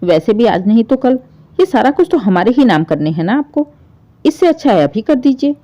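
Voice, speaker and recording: A woman's voice: on the high side, very animated, normal speed, dark tone, pitched above the performer's own voice. A woman voicing a selfish adult son in a moral story, insistent and complaining as he presses his father. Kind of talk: dramatised Hindi moral story. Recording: dull, muffled lo-fi audio.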